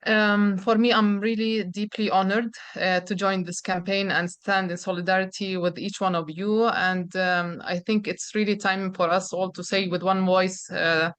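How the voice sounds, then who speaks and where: A woman speaks earnestly, often pausing with fillers. Her voice is medium in pitch and the sound is dry and close. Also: steady tone, fairly bright tone, smooth, dry recording. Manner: earnest, frequent filler pauses. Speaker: panelist, youth organization representative. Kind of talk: online panel remarks.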